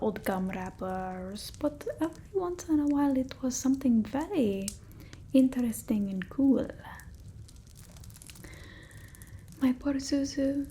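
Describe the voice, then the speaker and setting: An eccentric, theatrical vampire woman centuries old, acted by a woman, murmurs in a high, mellow voice. She speaks very slowly and haltingly in breathy whispers, doting and dramatic, in a close-up ASMR roleplay. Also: slight background noise. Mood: wistful. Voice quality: soft, breathy, often whispered. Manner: halting, theatrical, with quaint phrasing. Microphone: close ASMR microphone.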